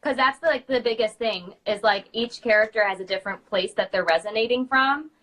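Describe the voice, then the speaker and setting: A woman with a bright, crisp-edged voice pitched a little high chats casually as a co-host. She is informal and agreeable, with a giggly way of talking. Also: a little fast, low-quality recording. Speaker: children's party princess performer, co-host. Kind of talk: casual chat.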